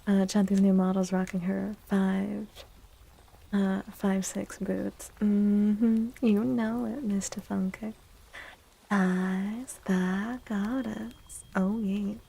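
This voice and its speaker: A woman speaking softly and breathily, often near a whisper, in a gentle ASMR livestream style. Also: mid-range voice, little pitch movement, slow pace, gravelly, husky, low-quality recording. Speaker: streamer and tarot reader. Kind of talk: soft-spoken ASMR livestream chat.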